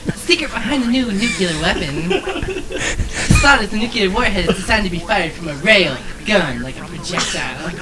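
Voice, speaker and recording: A man's voice: high voice, lively intonation, very noisy. A man doing a giggly nerdy young hacker. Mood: eager. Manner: fast, giggly delivery. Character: nervous young male computer hacker. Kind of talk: comic dub over a game cutscene. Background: game music.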